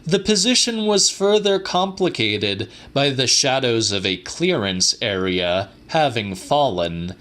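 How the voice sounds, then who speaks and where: A man narrating a literary audiobook in a dramatic, theatrical, deliberate manner. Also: fairly low pitch, pushed and bright.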